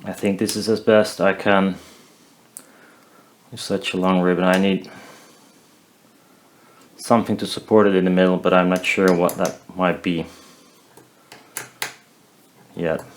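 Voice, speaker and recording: A man casually narrating a hands-on DIY build, haltingly thinking aloud as he works. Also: low-pitched, little pitch movement. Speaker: DIY maker demonstrating a build.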